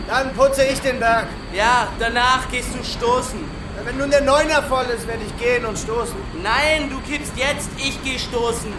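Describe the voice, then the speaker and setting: An actor playing a bossy, terse camp labourer with a raised voice in a radio drama. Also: male voice, very high-pitched, steady tone, moderate pace, roomy sound, background noise. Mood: brisk, insistent.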